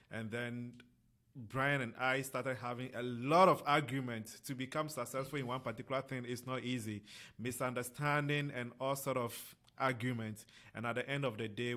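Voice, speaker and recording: A man's voice: medium pitch, fairly bright tone. A young man from Ghana, a guest in a casual interview, speaking in an upbeat, presenting way. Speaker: guest, MBA student and brand co-founder.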